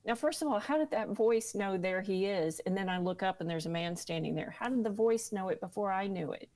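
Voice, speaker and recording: A woman interview guest recounting her own experience in a candid, emphatic way, with dramatic pauses on key words. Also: medium pitch, a little mellow, dry recording. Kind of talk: personal storytelling in an interview.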